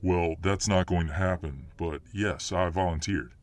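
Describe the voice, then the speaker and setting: A man playing a gruff, protective, muscular fireman boyfriend full of tough-guy bluster in an ASMR roleplay. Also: sits low, a little slow. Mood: grudging, defensive.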